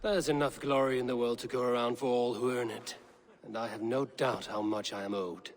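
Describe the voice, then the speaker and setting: An actor voicing a proud, steady male Viking clan leader in a video game, with measured, declamatory delivery. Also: medium pitch, in a large hall, natural voice. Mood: firm, restrained.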